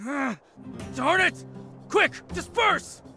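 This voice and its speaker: A sneering, menacing street thug in a video game. His voice runs very high for a man and drawn out, over clearly audible music. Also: animated, extremely slow, bright, forceful tone, full character voice. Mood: alarmed.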